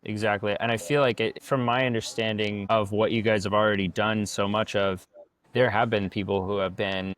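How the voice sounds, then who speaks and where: Low-voiced man agreeing on a podcast. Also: little pitch movement, moderate pace, fairly bright tone, clear, resonant tone. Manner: agreeable, affirming, rambling. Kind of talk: casual podcast conversation.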